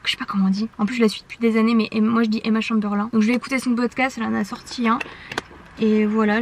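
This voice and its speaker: A woman's voice: on the high side, fairly bright tone, slight background noise. A young woman with a French accent, chatting casually to camera in a personal vlog, with lots of fillers and restarts. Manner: chatty, many fillers and self-corrections. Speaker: vlogger. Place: outdoors on walks and at home. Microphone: handheld compact camera.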